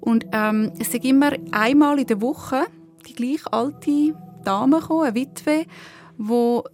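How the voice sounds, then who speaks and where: A woman with a Swiss German accent chats as a podcast co-host. Her voice is on the high side and she retells a story casually, over faint music. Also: unhurried, a little mellow.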